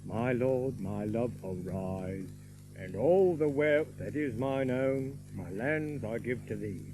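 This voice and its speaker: An actor playing a merry young outlaw who recites an old romantic ballad in lilting, theatrical archaic verse. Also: male voice, mid-range voice, animated, slow pace, background noise. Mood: tender, romantic.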